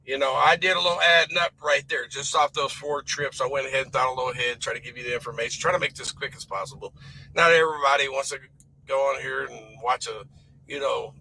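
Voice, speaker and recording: Hoarse, raspy trucker with gravelly edge. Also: male voice, mid-range voice, fairly even intonation, fast talker, sharp tone, a little hiss or hum, low-quality recording. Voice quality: rough and raspy. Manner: rambling, folksy, emphatic about saving money. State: hoarse from a cold. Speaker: owner-operator truck driver. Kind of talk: casual vlog talk to camera.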